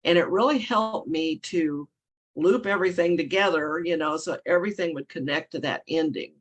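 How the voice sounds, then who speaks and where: Woman author, hoarse and froggy from a cold, casual rambling chat. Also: deep voice, moderate pace. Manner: rambling, self-correcting, chuckling often. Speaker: guest author.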